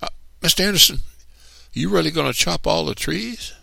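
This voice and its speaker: A man's voice: on the high side, animated, moderate pace, vocal fry, bright, forceful tone, no room sound, voice pushed up, full character voice. A man voicing a timid, hesitant Southern hired hand in an audiobook, deferential and cautious. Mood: nervous, cautioning.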